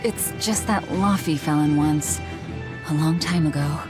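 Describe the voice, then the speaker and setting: A woman's voice: low voice, measured pace. A woman voicing a cool, guarded, brooding young woman with a reserved, terse manner in a dubbed video game cutscene. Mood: awkwardly tender. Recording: music behind the voice.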